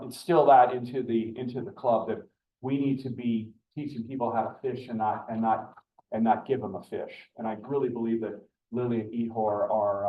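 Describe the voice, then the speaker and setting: A man's voice: mid-range voice, a little hiss or hum. An older man stands up at a club meeting to introduce a speaker. He talks earnestly and rambles a little, and his voice sounds distant and dull in an echoey meeting room. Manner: rambling, earnest, with many fillers and restarts.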